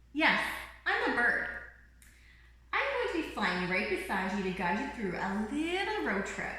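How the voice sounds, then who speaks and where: Casting: woman as small cheerful bird guide for a picture book, high-pitched, reassuring, echoing room. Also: sharp tone, full character voice. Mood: bubbly, eager. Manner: bubbly, reassuring, exclamatory. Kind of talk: children's picture book read-aloud. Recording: roomy, echoing room sound.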